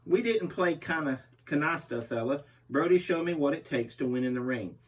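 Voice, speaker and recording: A man's voice: a little high, lively intonation, moderate pace, close to an everyday voice. A man reading aloud as a brutal, boastful barbarian pro wrestler in a menacing promo style. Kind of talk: interview read aloud as a wrestler. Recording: distant microphone.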